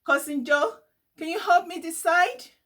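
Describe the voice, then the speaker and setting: A woman's voice: extremely high pitch, measured pace, a bit bright, pitched above the performer's own voice. A woman voicing a curious, unsure and eager little boy in a storybook, with a questioning, wondering manner.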